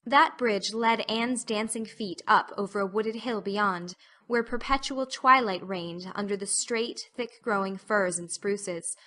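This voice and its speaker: A woman narrating a literary audiobook as an expressive storyteller. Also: mid-range voice, a little slow.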